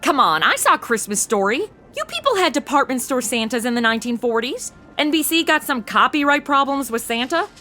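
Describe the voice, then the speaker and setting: A woman's voice: sits high, sing-song, fairly quick, few pauses, sharp tone, faint music behind, heavily acted. A woman playing a sarcastic, blunt modern sidekick in a comedy audio drama, talking casually. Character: snarky modern young woman tagging along as sidekick. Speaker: show's lead and host.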